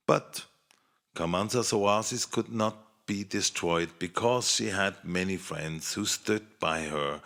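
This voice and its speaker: Male fairy-tale narrator, unhurried, with widely varied intonation for young listeners. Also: on the low side, vocal fry. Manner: expressive, measured children's storytelling. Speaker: storyteller.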